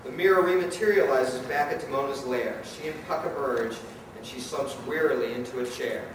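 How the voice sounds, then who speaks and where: A man's voice: medium pitch, fairly quick. A man reading dramatic scripted narration as the narrator of a live radio play. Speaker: narrator and director. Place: event hall before an audience. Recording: very echoey, distant, noisy live hall.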